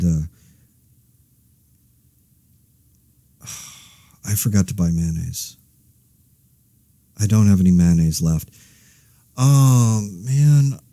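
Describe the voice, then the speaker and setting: A man's voice: lowish voice, warm tone, no room sound. A man playing an exasperated, anxious everyman podcaster in a conversational audio drama, rambling wryly and interrupting himself. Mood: weary, deflated. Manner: wry, rambling, self-interrupting. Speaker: host of a fictional monologue podcast.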